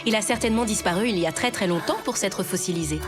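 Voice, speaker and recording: A woman playing a calm animal mother, gently explaining things in an animated cartoon. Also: medium pitch, fast, natural voice. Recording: music under the voice.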